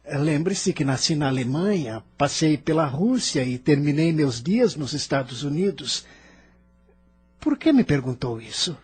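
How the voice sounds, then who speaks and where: Ator fazendo um imigrante amargurado, voz abafada e rouca. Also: male voice, on the high side, animated, unhurried, breathy edge, heavily acted. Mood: puzzled, proud. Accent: Brazilian. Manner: argumentative, self-pitying, emphatic. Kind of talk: dramatized audio novel dialogue.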